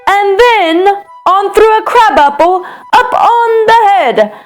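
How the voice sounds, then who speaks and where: A woman performs an eager young boy from a rhyming storybook, boasting like a circus showman. The voice is high and hugely animated in pitch, with slow, emphatic, singsong delivery over music. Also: exaggerated voice. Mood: proud showman.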